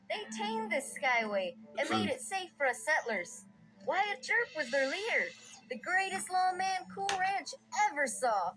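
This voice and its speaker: A woman's voice: extremely high pitch, rough recording. A woman voicing a young frontier settler in a video game, telling tall tales in a folksy, earnest American Western drawl. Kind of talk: scripted game character narration. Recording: music behind the voice. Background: music.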